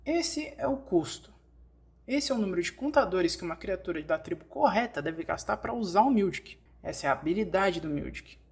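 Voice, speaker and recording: Brazilian woman narrating a tutorial. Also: medium pitch. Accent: Brazilian Portuguese. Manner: explanatory, addresses the listener directly. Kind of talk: scripted instructional narration, fan dub.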